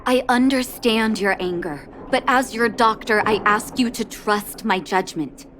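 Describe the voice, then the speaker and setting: An actress playing a calm, resolute doctor in a video game, speaking in a composed, measured and firm way. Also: female voice, on the high side, background noise, natural voice. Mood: gentle firmness. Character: calm, resolute, dutiful woman doctor of the underworld.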